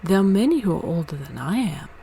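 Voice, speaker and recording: A woman's voice: on the low side, sing-song, fast, vocal fry, dark tone. A woman voicing a naive young fir tree in a storybook, wistful yet hopeful, with background noise. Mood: earnest. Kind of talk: storybook character voice.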